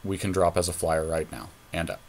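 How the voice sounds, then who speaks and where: A man's voice: low voice, brisk. A man chatting casually as he thinks aloud through a card game, analytical and loose with his language. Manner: analytical, talks through each play, casual swearing. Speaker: podcast co-host playing a card game.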